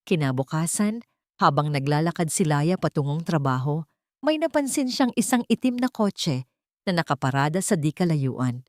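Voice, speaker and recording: A woman's voice: fairly low pitch, dark tone, no room sound, clean studio sound. A woman reading a scripted dramatic story as its narrator.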